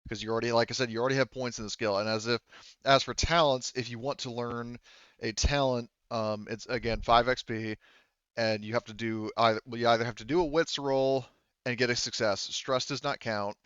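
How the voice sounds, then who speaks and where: A man's voice: mid-range voice, steady tone, fairly quick, a bit bright, smooth, no room sound, highs cut off. A man speaking as game master in a casual, rambling way, explaining rules and describing scenes and often correcting himself.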